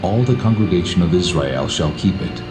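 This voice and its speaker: A very deep, commanding God. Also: male voice, animated. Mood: solemn, commanding. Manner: solemn, measured, authoritative. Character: God, solemn commanding male deity giving law. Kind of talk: dramatised scripture reading. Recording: music behind the voice.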